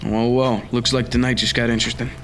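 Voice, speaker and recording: A man's voice: mid-range voice, little pitch movement, quick pace, over music, close to an everyday voice. A young man playing a tough, dry private detective with clipped delivery in a noir radio drama. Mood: cool, intrigued. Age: young adult. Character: hard-boiled male private detective, tough, dry, determined. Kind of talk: 1940s radio noir acting.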